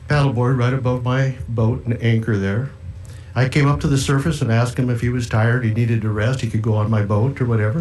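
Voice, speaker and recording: A man's voice: medium pitch, darkish tone, poor audio. A middle-aged man giving public testimony as a fisherman, telling a personal account. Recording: hearing room with faint noise.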